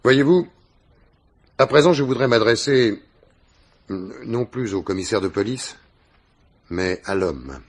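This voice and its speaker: An actor playing a proud, haughty gentleman in a radio mystery, speaking formally and stiffly. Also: fairly low pitch, expressive, a little slow, long pauses. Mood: earnest appeal. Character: haughty, proud, indignant upper-class gentleman defending family honour. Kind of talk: French radio mystery play acting.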